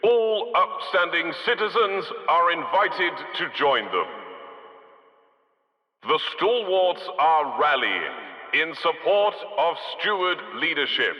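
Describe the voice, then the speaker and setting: A man voicing a formal, dry city loudspeaker announcer in a game, reading public announcements in a bureaucratic, deadpan manner. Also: fairly high pitch, reverberant, in a large hall, muffled recording.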